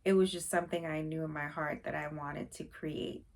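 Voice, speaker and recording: A woman's voice: low-pitched, fairly even intonation, normal speed. A young woman from Haiti, chatting casually to camera in her first vlog, a little nervous and full of fillers. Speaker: vlogger.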